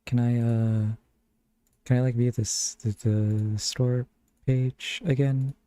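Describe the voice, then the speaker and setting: A man's voice: medium pitch, flat delivery, takes it slowly, warm tone, smooth, no echo. A man streaming live, chatting casually and thinking aloud in a rambling way into a close mic. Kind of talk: casual live-stream chat while browsing games.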